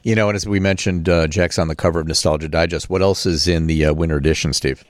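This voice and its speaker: A man's voice: low-pitched, fairly even intonation, fast, dry recording, studio quality. A man hosting a conversational radio interview. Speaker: radio host.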